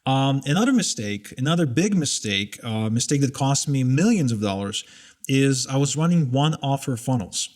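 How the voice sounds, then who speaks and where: A man's voice: medium pitch, very animated, moderate pace, darkish tone. Candid self-mocking man in his thirties. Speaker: interviewee sharing business mistakes. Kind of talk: casual conversation.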